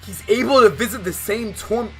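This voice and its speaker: A man's voice: high-pitched, normal speed, sharp tone, rough recording. A man livestreaming a game with slangy, profane, exasperated commentary, reading some of the text aloud as he goes. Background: guitar game music.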